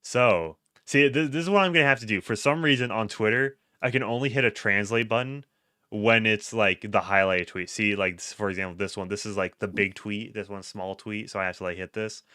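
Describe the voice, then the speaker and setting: Male streamer host, low-ish voice, smooth and dry close mic; chatty, laughs a lot, stumbles and restarts. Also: pushed and bright, dry recording. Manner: laughs often, halting with restarts. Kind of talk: casual livestream chat, reading chat and tweets aloud.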